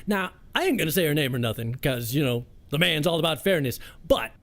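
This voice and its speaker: Man playing a high-pitched, swaggering radio sidekick. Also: lively intonation, brisk, close to an everyday voice. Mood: indignant, hyped. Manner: slangy, swaggering, talks of himself in third person. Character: brash, hyped-up male radio sidekick, loyal jokester. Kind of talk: comedic radio drama acting.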